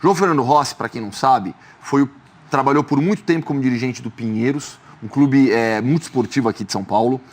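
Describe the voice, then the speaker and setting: A man, hosting a studio football debate and asking measured questions. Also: mid-range voice. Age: 30s. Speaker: sports journalist host.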